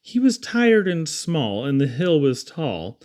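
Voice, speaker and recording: A man reading children's poetry aloud in an expressive, theatrical storytelling style with dramatic emphasis. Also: on the high side, slow pace. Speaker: reader.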